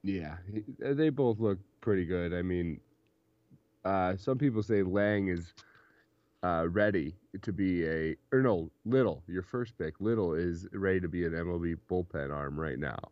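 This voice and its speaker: Young male podcast co-host, very low voice, laughs a lot, dry muffled recording. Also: unhurried, no room sound. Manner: laughs often between points. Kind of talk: casual sports podcast chat.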